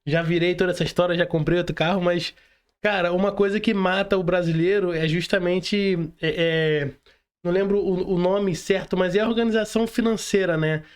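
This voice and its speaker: High-voiced Brazilian man hosting a casual podcast. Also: fairly even intonation, a little fast, clear, resonant tone. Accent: Brazilian Portuguese. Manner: informal, slangy, addresses listeners directly. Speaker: podcast host.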